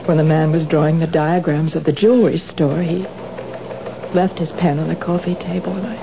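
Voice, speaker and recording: A woman playing a nervous housewife who is a reformed thief, speaking haltingly in a 1970s radio drama. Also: deep voice, fairly even intonation, vocal fry, background noise. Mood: flustered. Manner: halting, flustered confessions. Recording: dull lo-fi old radio broadcast.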